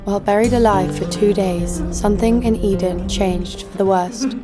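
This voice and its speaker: A woman playing a jaded, sardonic killer who narrates her own story in a deadpan way for an audio drama. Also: mid-range voice, normal speed, music in the background, lightly acted. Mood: wry, bitter. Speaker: narrator and lead actor. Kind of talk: first-person scripted narration.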